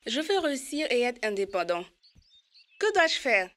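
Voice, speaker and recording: A woman's voice: a little high, big pitch swings, normal speed, a bit bright. A woman voicing an earnest, insistent little elephant child in a French-dubbed children's cartoon. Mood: eager.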